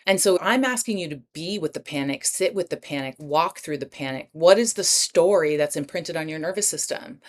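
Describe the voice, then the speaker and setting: A woman's voice: deep voice, animated, brisk, few pauses. A woman, a breathwork practitioner and writer, talking as a podcast guest in a passionate, rambling way full of asides and the odd swear word. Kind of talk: podcast interview talk.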